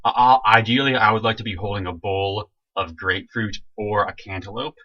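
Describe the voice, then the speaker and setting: A young man trades goofy, deadpan jokes as a podcast co-host. His voice sits a little low but sounds bright and forceful. The audio is dull and lo-fi. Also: fairly quick. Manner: goofy, joking deadpan asides. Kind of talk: casual chat. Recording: dull lo-fi recording.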